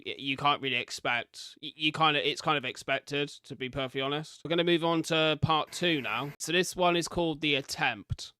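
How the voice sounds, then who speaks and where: A man's voice: medium pitch, pushed and bright, no echo. A British man doing informal live reaction commentary as a streamer, reading captions aloud and adding chatty asides. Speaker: streamer reacting to horror videos.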